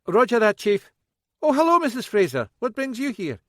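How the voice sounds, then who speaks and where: A man's voice: very high voice, big pitch swings, moderate pace, dry recording, studio quality, voice pushed up. A man voicing a cheerful young Scottish constable with an obliging, chirpy manner and a Scottish accent.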